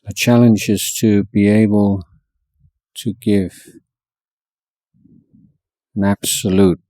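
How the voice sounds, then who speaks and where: A man guiding a meditation as a teacher, speaking in short measured phrases with long pauses between them. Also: lowish voice, level tone, dark tone, no echo. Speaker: Buddhist monk teaching meditation. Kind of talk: guided meditation instruction.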